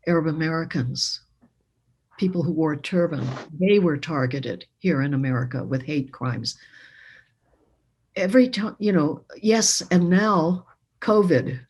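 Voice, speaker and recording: A woman answering as a panel speaker, reflective, halting and emphatic. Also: sits low, slightly rough. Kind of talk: reflective answer to a moderator's question.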